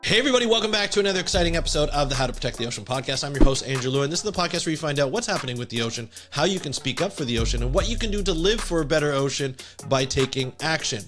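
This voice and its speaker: A man hosting a solo podcast, talking in an energetic, conversational way with fillers and restarts while congested from a cold. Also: fairly high pitch, very fast, music in the background. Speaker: podcast host.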